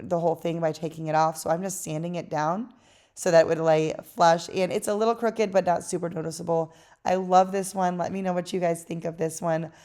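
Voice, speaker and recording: A woman hosting a craft channel, chatting casually through a voice-over tutorial in a tired, hoarse voice. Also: lowish voice. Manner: chatty and relaxed, with fillers.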